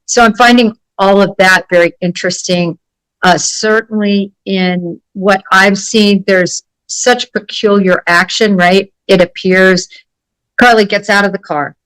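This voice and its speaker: A woman speaking off the cuff as a guest analyst, deliberate and emphatic in her delivery. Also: medium pitch, fairly even intonation, fairly bright tone, clean tone, dry recording, low-quality recording. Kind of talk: unscripted case analysis in an interview.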